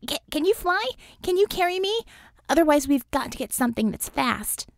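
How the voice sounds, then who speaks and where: Woman acting a feisty, animated superheroine. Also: sits high, a little fast, vocal fry, no room sound, pitched above the performer's own voice. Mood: urgent. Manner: informal, emphatic, blunt. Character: outspoken, feisty young female superhero, exasperated but caring.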